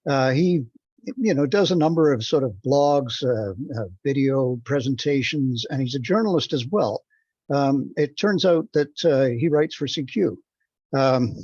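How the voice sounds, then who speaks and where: A man's voice: medium pitch, a little mellow, slightly rough, no echo. A man giving a hesitant, rambling report on a conference call. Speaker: meeting participant giving a report. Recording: dull, dry teleconference audio.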